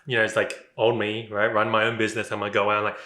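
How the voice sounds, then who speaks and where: A man's voice: on the low side, monotone, rapid-fire, pushed and bright, echoey room. A man interviewing casually, rambling through his questions with lots of "like" and "right?".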